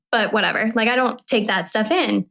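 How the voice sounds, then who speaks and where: A woman's voice: a little high, gravelly. Candid, rambling woman podcast host. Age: 30s. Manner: candid, animated, rambling, with swearing. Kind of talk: casual podcast conversation.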